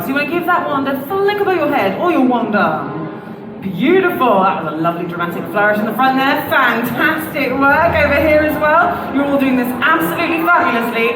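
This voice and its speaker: A woman presenting a live event, cheering on a crowd through a microphone in a bubbly, playful, encouraging way. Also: high voice. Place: large indoor public venue. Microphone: amplified microphone. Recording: echoey PA sound from far off. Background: crowd noise.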